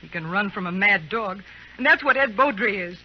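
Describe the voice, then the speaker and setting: A woman's voice: mid-range voice, lively intonation, moderate pace, breathy edge. An actress playing an anxious frontier woman in an old-time radio western, earnest and imploring. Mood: pleading. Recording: muffled, noisy vintage radio recording.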